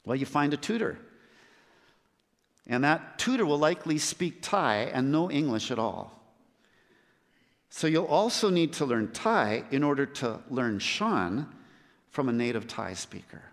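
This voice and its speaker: A man preaching a sermon as a missionary, earnest, with measured and emphatic pauses. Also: medium pitch, animated. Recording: long hall reverb.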